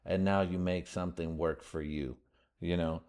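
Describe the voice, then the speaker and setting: A man from the United States with a very low, deep voice hosts his podcast in a loose, rambling monologue. His talk is slangy and salted with swearing, full of fillers and restarts. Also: steady tone, normal speed, a little mellow. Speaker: podcast host.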